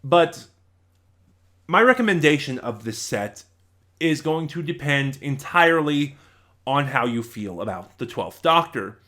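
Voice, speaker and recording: A man's voice: on the high side, big pitch swings, measured pace, sharp tone. A man giving a casual, unscripted review in a rambling, conversational way, correcting himself as he goes. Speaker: reviewer and channel host.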